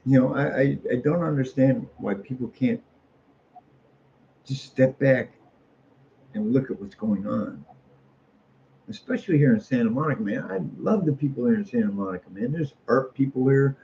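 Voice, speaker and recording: Middle-aged American man, folksy and opinionated casual talker with a gravelly fry, on muffled lo-fi audio. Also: mid-range voice, moderate pace, long pauses, warm tone. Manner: folksy, opinionated, repeats phrases for emphasis. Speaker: street artist. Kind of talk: casual rambling monologue. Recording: muffled lo-fi audio with faint noise.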